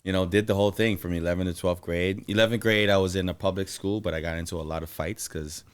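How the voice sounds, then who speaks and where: A man, a guest telling his life story in a lively, animated way. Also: low voice, fast, clear, resonant tone. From Guyana. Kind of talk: casual interview.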